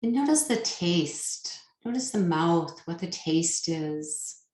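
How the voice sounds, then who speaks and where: A woman guides a meditation in a roomy, echoing space. Her voice sits a little low and she speaks very slowly. She is soothing and unhurried, leaving pauses between short phrases. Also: lively intonation, a little mellow. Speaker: yoga nidra meditation teacher.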